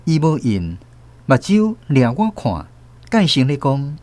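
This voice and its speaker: A man with a Taiwanese accent narrating a radio novel in an expressive storytelling manner. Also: mid-range voice, slow pace, no echo. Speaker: narrator and host.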